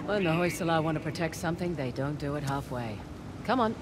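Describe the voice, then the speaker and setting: A woman acting as a wry, quick-witted treasure hunter in a video game cutscene, speaking casually over music. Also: sits low, animated, background noise. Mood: wry, curious. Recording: loud game music behind the voice. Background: loud music.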